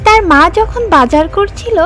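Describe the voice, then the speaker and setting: A woman's voice: very high voice, expressive, moderate pace, a bit bright, soft background music. A woman narrating a children's cartoon story from a script.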